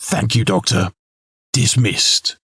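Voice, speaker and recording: Guy doing a self-important council lord, formal speechy delivery, raspy w some fry, dry close recording, audio drama. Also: lowish voice, pushed and bright, dry recording, exaggerated voice. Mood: commanding. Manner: formal, pompous, measured oratory. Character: male noble lord, silky, self-important political schemer. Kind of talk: scripted audio drama acting.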